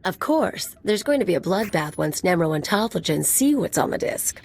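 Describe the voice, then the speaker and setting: An actress playing a brash, ambitious young mercenary in a video game, with a sly, sardonic drawl. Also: female voice, fairly low pitch, very animated, moderate pace. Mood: taunting. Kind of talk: video game character dialogue.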